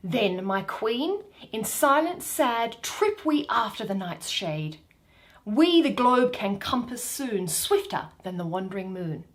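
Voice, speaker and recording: A woman voicing a tender, commanding male fairy king in measured, declamatory Shakespearean verse. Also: medium pitch, very animated, pitched below the performer's own voice. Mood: solemn.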